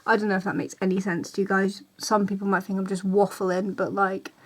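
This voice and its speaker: A woman's voice: mid-range voice, little pitch movement, brisk. A woman, talking casually straight to camera, rambling and repeating herself. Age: 20s. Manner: chatty, repeats herself, voices other people's reactions. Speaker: vlogger. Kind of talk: casual ranting vlog.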